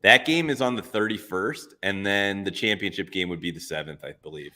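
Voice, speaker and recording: A man's voice: low voice, a little fast, pushed and bright. A man co-hosting a casual sports betting show, upbeat and eager as he talks through his picks. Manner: upbeat, enthusiastic. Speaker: co-host.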